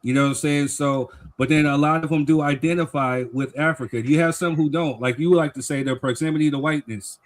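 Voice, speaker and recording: A man's voice: fairly high pitch, steady tone, a little fast, few pauses. A man co-hosting a live stream talk show, explaining his view earnestly in a casual debate. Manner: earnest, explains with "you know what I'm saying".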